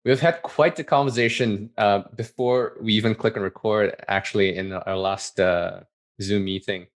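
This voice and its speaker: A man hosting a podcast, reading a guest introduction and asking questions in a measured way with small hesitations. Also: fairly low pitch, steady tone. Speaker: podcast host. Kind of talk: scripted intro then casual interview.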